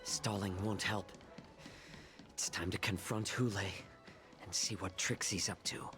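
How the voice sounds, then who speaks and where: A fairly low-pitched male fox-man healer from a video game. He sounds shrewd and composed, weighing each thought with wary care, over faint music. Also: steady tone, a little slow. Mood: resolved. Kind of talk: dramatic video game voice acting.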